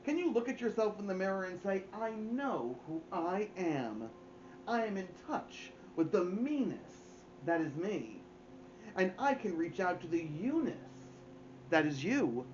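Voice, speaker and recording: A man's voice: high-pitched, very animated, unhurried, echoey room, muffled recording, a little hiss or hum, voice pushed up. A man voicing a pompous, earnest male cat from a storybook, preachy and emphatic. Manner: preachy, self-important, emphatic. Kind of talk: storybook character voice.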